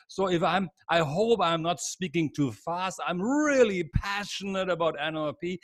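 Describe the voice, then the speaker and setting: A middle-aged man with a German accent, leading a live online training seminar in an energetic, repetitive, encouraging way over a video call. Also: fairly high pitch, no echo, clean studio sound. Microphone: computer mic on a video call.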